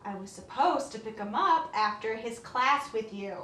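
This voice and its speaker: A woman's voice: on the high side, animated, normal speed, fairly bright tone, a little hiss or hum. A young woman playing a possessive, demanding girlfriend with a curt, insistent manner in a web series. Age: young adult.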